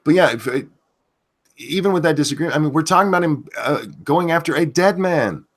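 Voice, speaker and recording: Man, livestream host, blunt, profane, halting restarts, casual chat. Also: fairly high pitch, animated. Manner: blunt, cursing, self-assured, halting restarts. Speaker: show host.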